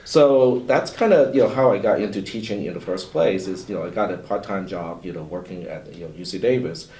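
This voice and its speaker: A Cantonese-speaking man, a college instructor, talking in a relaxed, rambling way full of "you know" in a panel discussion. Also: low voice, a little fast. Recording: roomy echo, far from mic.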